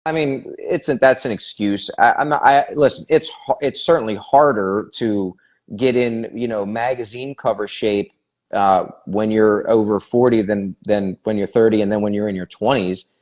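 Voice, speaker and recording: A man's voice: lowish voice, moderate pace, a little mellow. Blunt, emphatic male fitness trainer. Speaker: fitness trainer, podcast co-host. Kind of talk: casual podcast conversation.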